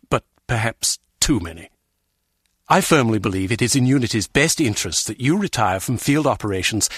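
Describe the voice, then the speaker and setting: An actor playing a haughty, disapproving older spy-agency official in a video game, formal and sarcastic in manner. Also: male voice, mid-range voice, fairly bright tone, breathy edge, no echo, studio quality. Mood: cold, condescending.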